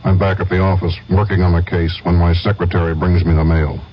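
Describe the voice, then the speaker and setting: Actor as a low, terse hardboiled investigator. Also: male voice, level tone, brisk, a little hiss or hum, close to an everyday voice. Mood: deadpan, terse. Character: terse hardboiled male investigator narrating his case. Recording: dull radio broadcast.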